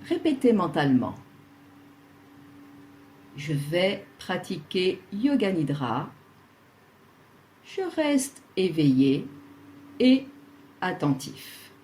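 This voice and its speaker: A woman leading a guided yoga relaxation, speaking soothingly and leaving long pauses between short instructions. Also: fairly low pitch, big pitch swings, a little mellow, a little hiss or hum.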